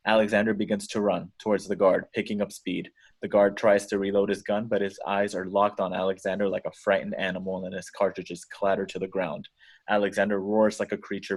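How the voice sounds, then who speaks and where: A man narrates the action of a screenplay. His voice is on the low side, and he reads stage directions as scripted narration for a table read. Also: level tone, brisk, breathy edge.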